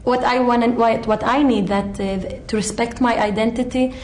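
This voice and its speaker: A woman from Nazareth answering interview questions in an earnest, halting way. Her voice rings in an echoey room, with faint noise underneath. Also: a little high, fairly even intonation.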